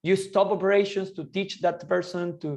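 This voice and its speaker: Young man, fairly high voice, eager and quick, guest in a casual interview. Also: level tone, darkish tone, dull audio. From Argentina. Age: 20s. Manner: quick, eager, with frequent restarts. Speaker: guest entrepreneur.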